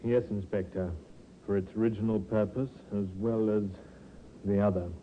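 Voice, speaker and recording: An actor playing a deferential, cautious police sergeant in a 1950s radio drama, with a dry, respectful manner. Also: male voice, fairly low pitch, steady tone, moderate pace, natural voice. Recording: dull 1950s radio recording, faint noise.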